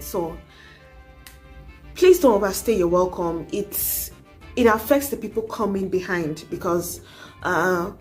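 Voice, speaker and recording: A young adult woman, talking earnestly to camera in a casual advice vlog, urging and coaxing with many asides. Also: mid-range voice. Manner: earnest, exhorting, frequent "you know". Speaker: vlogger.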